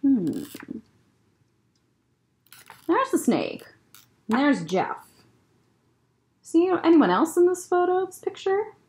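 A young woman reading a children's picture book aloud as a librarian storyteller, expressive and deliberate. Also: very high voice. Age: young adult. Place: indoor library room.

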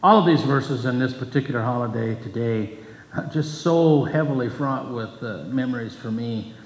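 An older man giving a sermon at a pulpit, earnest and measured, reading scripture between his points. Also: medium pitch. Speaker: pastor. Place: church sanctuary, at a pulpit. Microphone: pulpit microphone, far camera. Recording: echoey church hall from far off.

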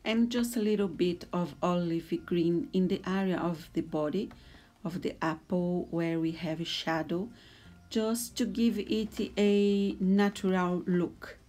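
A woman in her forties from Brazil, speaking English with a Brazilian Portuguese accent and talking softly and a little hesitantly as she explains a drawing tutorial. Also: fairly low pitch. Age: 40s. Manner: soft, hesitant, a little nervous. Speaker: art tutor.

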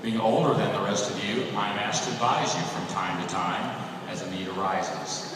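A man reciting a formal ceremony in a measured, solemn way, heard through an arena PA from the stands. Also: lowish voice, fairly even intonation, noisy background. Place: large arena. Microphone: PA system heard from the stands. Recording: echoey arena PA from far off.

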